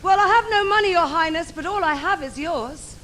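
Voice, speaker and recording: Very high-pitched panto boy hero. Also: brisk, a bit bright, a little hiss or hum. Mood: earnest. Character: young male pantomime hero Aladdin, poor, eager, cheeky. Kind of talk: pantomime stage acting and singing.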